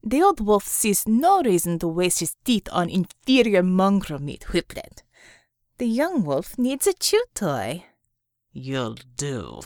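A woman's voice: fairly low pitch, wildly swooping pitch, slow, a little mellow, no echo, full character voice. A woman narrator acts out a fierce, swaggering young superheroine with a Russian accent. She is blunt and scornful, her English broken. The intonation moves boldly in a dry, close recording.

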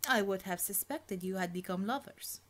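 A woman's voice: medium pitch, moderate pace, a bit bright, natural voice. A woman voicing a stern, imperious Russian woman commander in an audiobook story, with a Russian accent and clipped, formal speech. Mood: cool, dry.

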